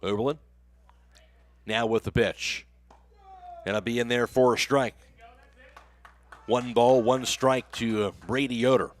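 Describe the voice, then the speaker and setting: A man's voice: mid-range voice, fairly even intonation, measured pace, long pauses. An American man calling a baseball game live as a radio play-by-play announcer, in clipped phrases that follow the action.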